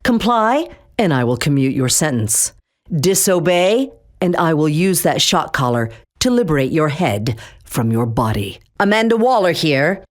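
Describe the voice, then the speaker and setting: A steely, middle-aged female agency chief for a video game, issuing orders in a cold, clipped, threatening way. Her voice sits low, yet it swings widely between words. Nothing she says leaves room for argument. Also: big pitch swings, unhurried. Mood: commanding. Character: stern, commanding female government agency chief.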